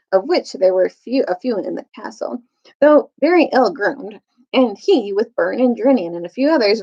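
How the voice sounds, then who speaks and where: A woman reads a children's story aloud in a high, expressive voice with lots of pitch movement. She trips over the odd long word, and the recording is slightly dull. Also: vocal fry. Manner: stumbles over longer words. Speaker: storytime reader.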